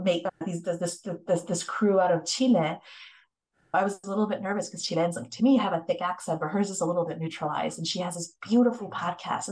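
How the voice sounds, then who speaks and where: Low-voiced woman reporter, animated. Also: low-quality recording. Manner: fast, animated storytelling with many restarts. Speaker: investigative reporter, guest. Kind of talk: casual interview talk.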